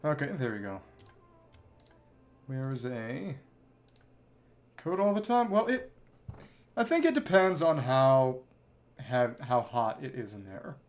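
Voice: medium pitch, big pitch swings, slow, pauses often, darkish tone. A game streamer chatting casually with viewers and reading game dialogue in clipped, musing fragments. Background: game music.

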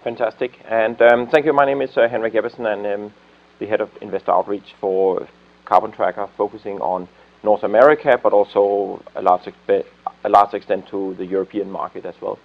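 A man's voice: fairly low pitch, fairly even intonation, brisk, phone-quality sound. A man in his forties with a Danish accent, speaking on a conference panel in a hesitant way with many filler sounds. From Denmark. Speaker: investor outreach head on a panel. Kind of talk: panel discussion remarks. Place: conference room panel, indoors.

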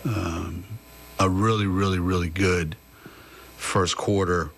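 A man in his sixties, a head coach answering at a press conference, halting with many fillers and restarts into a table mic. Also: deep voice, steady tone, creaky, fairly bright tone, slight background noise.

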